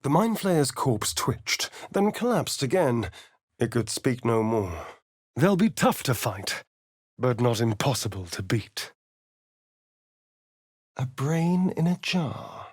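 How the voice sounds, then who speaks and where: A sardonic, vain male vampire rogue for a fantasy video game. His delivery is slow and arch, swooping widely in pitch with theatrical relish. The voice is slightly breathy, recorded close and dry. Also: sing-song, no room sound, heavily acted. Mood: hushed narration. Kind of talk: video game character voice acting.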